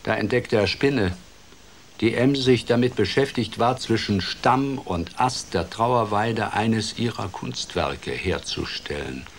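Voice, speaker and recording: An actor playing a playful storyteller who tells a children's fairy tale in an expressive, theatrical way for a radio drama. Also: male voice, on the low side, slow, slight background noise. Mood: hushed, pensive.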